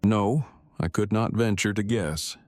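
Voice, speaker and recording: A man's voice: on the low side, measured pace, no echo, natural voice. A man playing a wary, fearful character in an audio drama, speaking in stiff, wordy, overly formal phrases. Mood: guarded, nervous.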